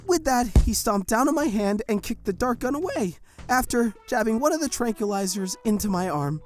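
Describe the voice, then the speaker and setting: A woman's voice: fairly high pitch, lively intonation, moderate pace, darkish tone. Woman narrator, first-person audio drama, tense delivery, faint music behind.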